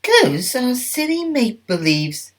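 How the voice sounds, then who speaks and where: A man's voice: extremely high pitch, sing-song, slow pace, pitched above the performer's own voice, exaggerated voice. A man voicing nervous, timid talking steam engines from a children's storybook, in an exclamatory manner. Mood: dismissive.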